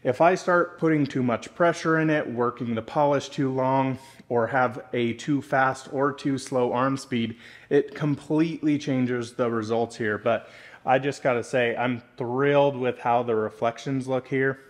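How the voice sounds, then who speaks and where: A young man talks viewers through a car detailing job in casual vlog narration. He sounds enthusiastic and instructive at a mid pitch, addressing the audience directly. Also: steady tone, unhurried.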